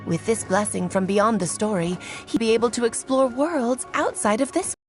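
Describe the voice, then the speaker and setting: A woman's voice: fairly high pitch, moderate pace, music in the background, close to an everyday voice. A woman playing an elegant, teasing, mysterious witch in a video game, poised and theatrical. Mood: gracious. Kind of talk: video game character acting.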